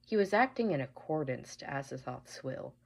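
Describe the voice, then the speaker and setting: A woman's voice: rumbling low, lively intonation, normal speed, vocal fry, breathy edge. A woman presenting an analytical fan theory as a prepared listener message.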